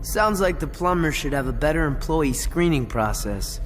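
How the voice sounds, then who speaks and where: A man voicing a sarcastic, cocky teenage tough guy in an animated action game, blunt and sardonic. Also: a little high, normal speed, lightly acted. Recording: reverberant hall, loud music behind.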